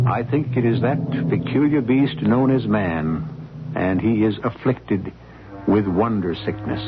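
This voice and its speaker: Slow, musing male radio host. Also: on the low side. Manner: slow, measured, musing delivery. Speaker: host and narrator. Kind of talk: reflective closing commentary. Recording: tinny old radio broadcast with loud music. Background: music.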